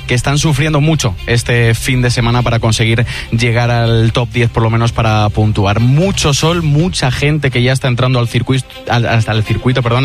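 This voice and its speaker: A man giving a live radio sports report from a racetrack, quick and energetic. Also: medium pitch.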